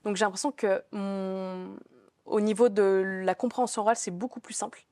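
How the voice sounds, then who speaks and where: A woman's voice: mid-range voice, steady tone, moderate pace, darkish tone, no room sound. Chatty young woman, dry sound. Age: young adult. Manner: chatty, many fillers and restarts. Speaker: business school student. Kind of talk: casual interview answers.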